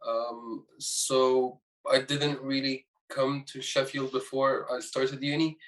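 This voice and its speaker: A man's voice: medium pitch, little pitch movement, takes it slowly, fairly bright tone, distant mic, low-quality recording. A young male student from Egypt casually sharing his own experience. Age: young adult. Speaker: student panelist. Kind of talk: casual chat.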